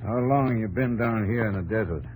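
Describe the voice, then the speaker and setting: A man portrays a seasoned, fatherly desert rancher. The voice sits low and the manner is folksy and sure of itself. It comes from an old radio broadcast with faint noise. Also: a little fast, a little mellow, lightly acted, rough recording. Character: seasoned older male rancher, fatherly, self-assured desert settler. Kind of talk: 1950s radio acting.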